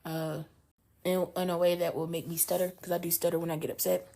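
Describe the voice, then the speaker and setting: A woman's voice: on the low side, little pitch movement, fast talker, vocal fry, warm tone. A woman from North Carolina co-hosting a casual podcast, reading news items aloud and then riffing on them in chatty conversation. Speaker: podcast co-host.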